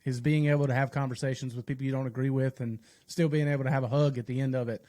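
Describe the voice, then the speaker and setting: A man from the United States in a casual podcast chat, thoughtful and halting, often repeating his phrases. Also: medium pitch, steady tone, mellow, dry recording. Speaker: podcast host appearing as guest.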